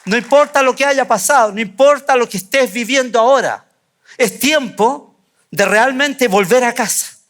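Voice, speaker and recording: A pastor with a high, light voice delivers a fervent sermon in a church, repeating key phrases and pausing for emphasis. He drops softer and lower at times, then builds again. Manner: fervent, repetitive preaching with emphatic pauses. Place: church sanctuary.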